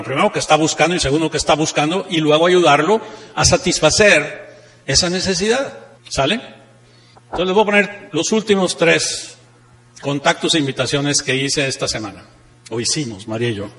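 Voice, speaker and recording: A man with a northern Mexican accent speaks as a seminar trainer in a reverberant hall. His voice sits fairly high, and he drives points home with rhetorical questions and emphatic repetition. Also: a bit bright. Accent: northern Mexican Spanish. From Monterrey, Mexico. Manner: rhetorical questions, repeats key phrases with emphasis. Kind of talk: motivational seminar talk with anecdotes. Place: seminar room, speaking to an audience. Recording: echoey hall with long reverb.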